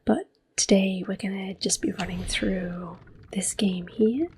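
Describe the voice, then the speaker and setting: A woman giving soft-spoken ASMR gameplay commentary in a gentle, hushed, breathy voice that often drops into a whisper. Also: mid-range voice, a little slow, slight background noise. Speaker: gaming video host.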